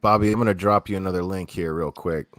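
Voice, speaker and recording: A man's voice: deep voice, steady tone, fast, a bit bright, no room sound. A young man hosting a livestream, talking casually and rambling, repeating himself and swearing now and then. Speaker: livestream host.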